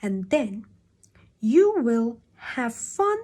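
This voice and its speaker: A kindly storybook aunt urges a child on in a gentle, unhurried way, as a woman reads her part. Her voice is soft and a little breathy, with a lively lift in pitch. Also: exaggerated voice. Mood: cheerful.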